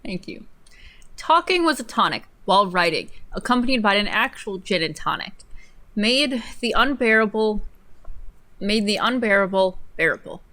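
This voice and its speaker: A woman's voice: mid-range voice, animated, moderate pace, sharp tone. A young woman co-hosting a livestream from a room indoors, giving a dramatic reading of an article. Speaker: livestream co-host.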